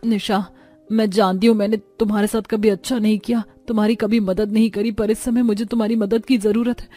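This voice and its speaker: Cartoon housewife, played by a woman: rich, spoiled, demanding, mellow timbre. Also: medium pitch, little pitch movement, brisk, soft background music, natural voice. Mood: pleading. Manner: complaining, demanding. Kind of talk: cartoon moral-story dialogue.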